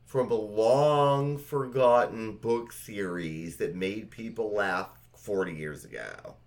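A man's voice: lowish voice, slow pace, a little hiss or hum. A middle-aged man co-hosting a podcast, talking casually and reading aloud for fellow fans. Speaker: podcast co-host. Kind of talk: casual nostalgic chat, dramatic poem reading.

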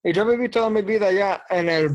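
A man in his thirties, a priest, telling his faith story in an interview with lively, joking anecdotes. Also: high-pitched, quick pace, dull audio. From Bronx, New York. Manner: animated storyteller, jokes and acts out quotes. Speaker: diocesan priest.

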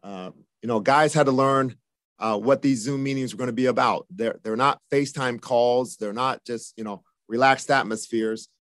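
A man in his fifties, a football receivers coach, answering interview questions in a measured way, with many "you know" and "uh" fillers. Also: medium pitch, a bit bright, no echo. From Japan, raised in the United States from age seven.

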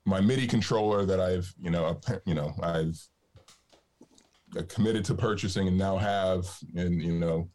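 A man with a deep, resonant low voice, chatting casually as a podcast guest with plenty of fillers. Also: normal speed. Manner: relaxed, rambling with fillers. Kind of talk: casual conversation.

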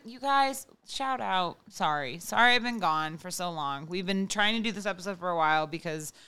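A young woman co-hosting a podcast in casual, animated chat, swearing freely. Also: on the low side, clean tone. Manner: animated, swears often, quick to laugh. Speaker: podcast co-host.

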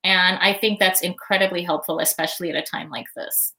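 A woman's voice: fairly low pitch, fairly even intonation, fairly quick, creaky, bright, forceful tone. A woman guest on a podcast interview, speaking thoughtfully with frequent fillers and easy laughter. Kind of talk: casual interview answers.

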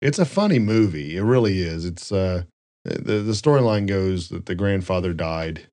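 A man's voice: deep voice, animated, normal speed, darkish tone, dry recording, studio quality. An American man chatting casually as a podcast host, rambling and joking as he tells stories. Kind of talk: casual chat and storytelling.

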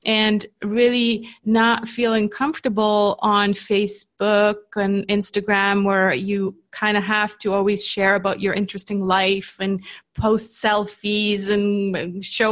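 Chatty Swiss woman with Canadian-tinged English. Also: mid-range voice. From Switzerland. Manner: chatty, laughs easily between points. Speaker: podcast guest, business coach. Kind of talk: casual interview chat.

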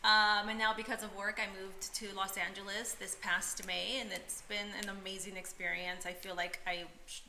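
A woman's voice: mid-range voice, little pitch movement, moderate pace, creaky, sharp tone, echoey room, a little hiss or hum. A young woman from Peru, a podcast guest, chatting casually with many fillers and frequent laughter.

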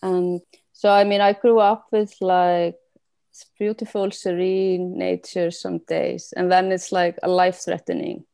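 A woman artist from Iceland, talking through her answers in a relaxed, filler-heavy way. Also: fairly low pitch, a little slow, gravelly, clear, resonant tone. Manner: relaxed, frequent "like" fillers, hopeful. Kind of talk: conversational interview answer.

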